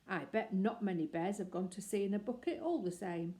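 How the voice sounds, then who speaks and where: A woman's voice: mid-range voice, brisk, mellow, natural voice. A woman reading aloud voices a small, polite young male bear who speaks innocently and truthfully. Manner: innocent, truthful and matter-of-fact.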